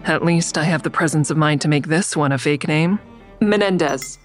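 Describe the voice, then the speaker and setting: A woman's voice: deep voice, normal speed, faint music behind, lightly acted. A woman playing a wary, stubborn mother who narrates her risky investigation in the first person in an audio drama. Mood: guarded, uneasy.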